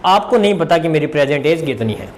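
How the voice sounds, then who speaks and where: A man's voice: a little high, expressive, fast. A man teaching informally, repeating phrases for emphasis and answering his own questions as students would. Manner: mixes English terms into Hindi. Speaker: maths teacher. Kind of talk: informal exam-prep lesson.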